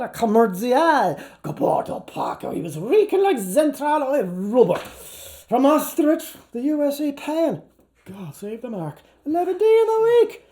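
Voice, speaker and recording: A man with an Irish accent giving an animated, declamatory stage reading of a novel, playful with the wordplay and shifting between hushed and loud delivery. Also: high voice. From Ireland or Canada. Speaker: actor performing a literary reading. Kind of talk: theatrical live reading of a novel.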